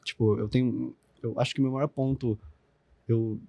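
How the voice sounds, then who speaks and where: A man's voice: mid-range voice, fairly even intonation, normal speed, warm tone, no room sound. A man in his twenties with a Brazilian Portuguese accent, a podcast guest talking in a casual, slangy way, swearing freely and leaning on fillers. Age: 20s. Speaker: podcast guest, business founder.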